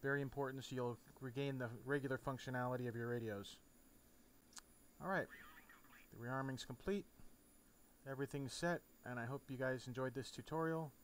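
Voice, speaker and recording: A man's voice: medium pitch, moderate pace, poor audio. A man presenting an informal step-by-step game tutorial in a casual, instructional way. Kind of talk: casual step-by-step tutorial narration over a flight simulator. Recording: clear background noise. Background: steady noise, game sound off.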